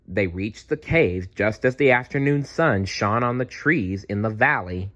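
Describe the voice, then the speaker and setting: A man narrates a children's storybook at a very unhurried pace. His lowish voice moves through a wide range of pitch, with expressive, deliberate delivery. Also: lo-fi sound. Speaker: storybook reader. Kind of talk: children's read-aloud narration.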